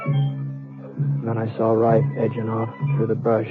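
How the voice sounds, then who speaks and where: An actor playing an easygoing American cowhand who tells his trail story in a halting, folksy way, in a 1950s radio western. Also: lowish voice, completely flat delivery, narrow, tinny audio. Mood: quiet, measured. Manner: folksy, halting, repeats words. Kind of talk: 1950s radio western acting, first-person narration. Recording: old band-limited radio recording with music.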